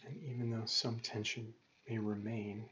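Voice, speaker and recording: A man leading a guided meditation as a yoga teacher, calm and gentle, giving measured instructions with pauses. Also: on the low side. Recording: dull lo-fi recording with faint noise.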